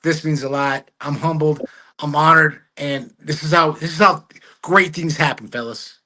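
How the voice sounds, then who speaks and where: Man, first language spanish, guest, gushing, joking, bright tense voice, video call. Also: a little high, normal speed. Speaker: podcast guest. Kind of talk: casual sports podcast chat.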